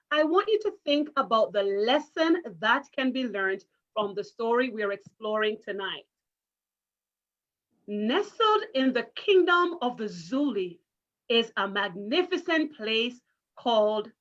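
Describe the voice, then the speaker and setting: Muffled, high-voiced woman teacher. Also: a bit bright. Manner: encouraging, careful teacherly address with questions. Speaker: primary school teacher reading a story. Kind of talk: interactive children's read-aloud. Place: online virtual story time call. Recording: muffled video call audio.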